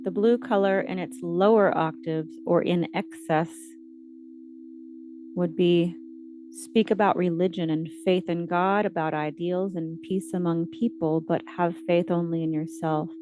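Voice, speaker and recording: A woman with a fairly low voice leads a scripted guided meditation. She recites in short, measured phrases, like readings from scripture. A steady hum and clear noise sit behind her voice throughout.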